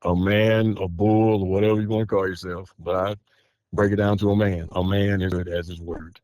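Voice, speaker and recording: A man with a very deep, flat voice talks casually on a dry recording. He is blunt and emphatic, restating his point in short phrases. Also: normal speed, no echo. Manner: blunt, emphatic, repeats himself. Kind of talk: casual podcast talk.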